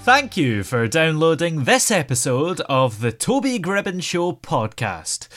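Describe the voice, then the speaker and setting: A man's voice: fairly high pitch, fairly bright tone. A man hosting a radio show and podcast, interviewing and presenting.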